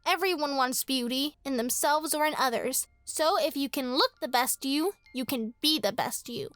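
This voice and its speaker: A woman's voice: sits high, normal speed, a bit bright, dry recording, faint music behind. A woman playing a spoiled, petulant young girl in a horror radio drama. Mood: resentful. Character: spoiled, sulky young girl, vain and lonely.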